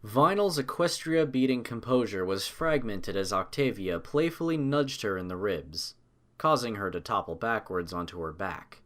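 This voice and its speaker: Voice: mid-range voice, expressive, moderate pace. A narrator reading a scripted story aloud in the third person.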